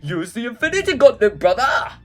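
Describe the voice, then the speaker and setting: A woman's voice: sing-song, a little fast, a bit bright, faint music behind. A woman doing a shrieking panicky young man. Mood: triumphant. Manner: shrill and panicky. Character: hysterical young man, shrieking sidekick brother.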